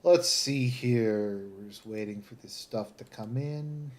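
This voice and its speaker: A man's voice: medium pitch, a little mellow. Rambling Southern Ontario man, chatting casually. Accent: Southern Ontario Canadian. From Southern Ontario, Canada. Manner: rambling, halting, thinking aloud. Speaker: livestream host. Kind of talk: casual chat, live commentary.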